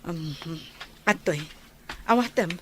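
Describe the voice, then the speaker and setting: An actress playing a caring, generous elder sister in an Ilocano radio drama, with an Ilocano accent and a husky voice. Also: female voice, fairly low pitch, lively intonation, takes it slowly, fairly bright tone, slight background noise. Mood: gently insistent.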